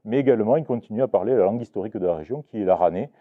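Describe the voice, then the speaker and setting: A man's voice: on the low side, racing, phone-quality sound. A man teaching about a regional language to a live audience, talking with many restarts and hesitations. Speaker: Occitan teacher giving a talk. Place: book fair talk.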